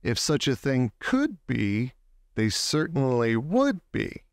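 Male storybook reader, unhurried and clear-toned, stretching words for emphasis in an expressive children's read-aloud. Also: medium pitch, dry recording, studio quality. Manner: deliberate phrasing, drawn-out words for emphasis.

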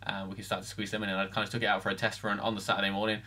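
A man's voice: fairly low pitch, monotone, rapid-fire, sharp tone. A man, chatting casually and matter-of-factly about his week in a training vlog. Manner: chatty, matter-of-fact, filler-heavy. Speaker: vlogger. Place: home room, voice-over.

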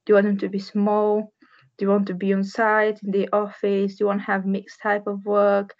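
Even-toned young Bulgarian woman, dull recording. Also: mid-range voice, normal speed. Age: 20s. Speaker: civil structural engineer, careers ambassador. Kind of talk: informal careers talk to camera. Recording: dull, muffled webcam audio.

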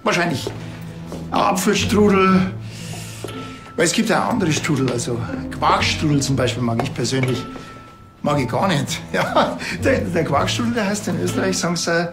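An actor playing a dry, rambling policeman in a TV crime comedy, deadpan and hesitant. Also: a little high, normal speed, music in the background. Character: dry, slow-witted rambling Bavarian policeman.

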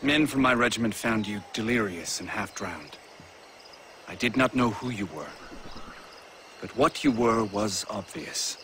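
An actor playing a formal, authoritative British colonel. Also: male voice, on the low side, animated, measured pace, pauses often, a bit bright, a little hiss or hum. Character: stern, courteous British army colonel.

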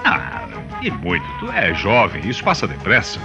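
An actor playing a jovial, folksy rubber-tapper bridegroom in a radio soap, with a Brazilian accent. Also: male voice, sits low, huge pitch swings, moderate pace, full character voice. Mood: warm, earnest. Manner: exclamatory, folksy. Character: jovial, hearty rubber-tapper bridegroom, plain country man. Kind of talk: radio soap opera acting. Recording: dull radio drama recording, faint music.

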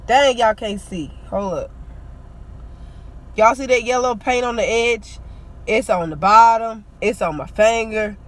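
A woman's voice: fairly high pitch, a little slow. A young woman, ranting indignantly to her phone camera from inside a parked car. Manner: indignant, animated complaining. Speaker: beauty vlogger. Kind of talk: casual vlog rant to camera. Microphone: phone camera at arm's length.

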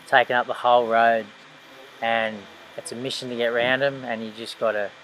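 A man's voice: mid-range voice, little pitch movement, normal speed, fairly bright tone, slight background noise, low-quality recording. A young man from Australia narrating a travel vlog in an easygoing, laid-back way, recorded on an action camera. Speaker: vlogger.

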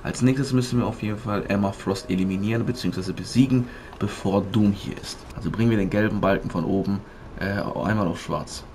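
A man with a fairly low voice commentates a game walkthrough. He explains step by step and addresses his viewers directly, with faint noise behind him. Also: steady tone, brisk, a little mellow. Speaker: gaming video creator.

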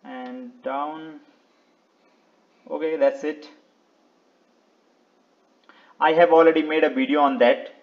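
A man with a slightly high voice narrates a screen tutorial step by step. He speaks in short, halting phrases and often repeats himself. The recording is dull and lo-fi. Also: fairly even intonation. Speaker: video tutorial presenter. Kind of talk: step-by-step website walkthrough, unscripted screen narration.

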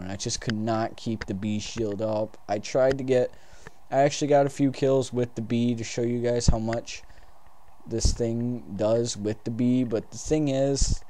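A young man with a blocked, stuffed-up nose, still getting over a cold, talks through his gameplay in a small room. His voice sits dark and mellow at an ordinary pitch. He rambles casually, restarts his sentences and drops the odd swear word. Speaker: gaming video host.

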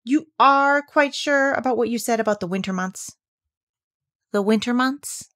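A woman's voice: on the high side, a little slow, a bit bright, voice pushed up. Woman doing a timid, anxious little piglet. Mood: doubtful. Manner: hesitant, worried.

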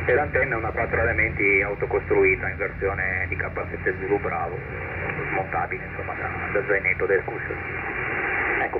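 A man chatting as an amateur radio operator, heard through a single-sideband radio transmission. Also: lowish voice. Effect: SSB radio transmission. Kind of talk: amateur radio contact, conversational. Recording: narrow-band, noisy shortwave-style radio speaker. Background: loud radio hiss and static.

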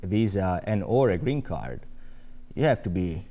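A man's voice: low-pitched, very animated, warm tone. A man in his forties with an Italian accent, a guest chatting on a TV studio set, chuckling often. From Italy. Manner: chuckles often, measured and friendly. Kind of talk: casual studio chat. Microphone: lapel.

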